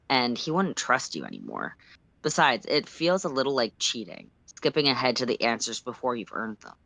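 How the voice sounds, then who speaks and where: A storyteller reading second-person fiction aloud on a livestream in an expressive narrating style. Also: mid-range voice, dry recording.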